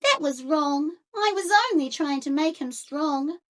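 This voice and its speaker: Storybook little girl, voiced by a woman: high, bright, earnest, caring, animated. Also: unhurried. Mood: apologetic. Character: Katy, caring young girl who dotes on her cat. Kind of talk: picture-book read-aloud.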